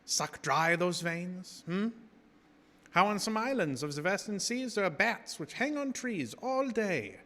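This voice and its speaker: An actor playing a grave, commanding professor who hunts vampires, delivering probing, theatrical speeches in gothic radio drama. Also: male voice, sits high, big pitch swings, a little slow, pushed and bright, heavily acted. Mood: intense, lecturing. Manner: theatrical, probing rhetorical questions. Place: live theater stage. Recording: reverberant theater hall with noise.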